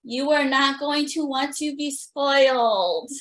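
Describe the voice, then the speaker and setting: A woman hosting a podcast interview in casual chat, with drawn-out, hesitant phrasing. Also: high-pitched. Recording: roomy, echoey sound.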